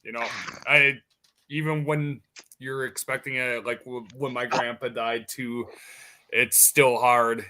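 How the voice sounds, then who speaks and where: A man's voice: medium pitch, level tone, takes it slowly, pushed and bright, a little hiss or hum. A man hosting a casual podcast chat, laughing easily and reading out viewer comments.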